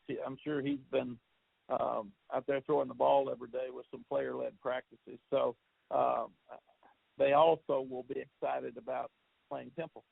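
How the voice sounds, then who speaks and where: A man's voice: mid-range voice, vocal fry, no room sound. A man who is a football head coach, answering questions on a press conference call in a rambling way, often repeating words and saying "uh". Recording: phone line.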